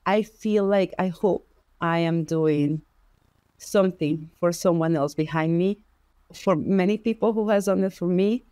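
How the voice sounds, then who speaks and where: Expressive woman with a Spanish accent. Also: fairly low pitch, a little slow, no room sound. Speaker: podcast guest. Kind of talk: personal storytelling in conversation.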